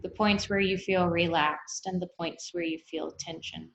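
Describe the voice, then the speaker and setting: A woman guiding a meditation, speaking in an unhurried, gently instructive way with long pauses. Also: on the low side, steady tone. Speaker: meditation guide. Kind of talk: guided meditation, body scan. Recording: echoey room.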